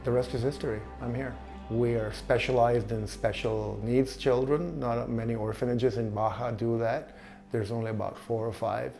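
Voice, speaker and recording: Older man from Chile, gravelly voice, halting and earnest, with faint guitar behind him. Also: mid-range voice, fairly even intonation, dark tone. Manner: halting, earnest, searching for words. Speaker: orphanage director. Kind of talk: documentary interview.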